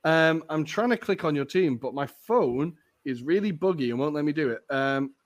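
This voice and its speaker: A young man hosting a casual football podcast, chatty and jokey with lots of ums, his voice a little raspy. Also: fairly high pitch, lively intonation, moderate pace. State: slightly raspy voice. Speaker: podcast host.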